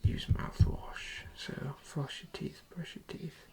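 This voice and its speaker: A person performing an ASMR dentist roleplay in a breathy whisper with creaky edges, speaking softly and hesitantly as they give instructions. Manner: soft, hesitant, unhurried instructing.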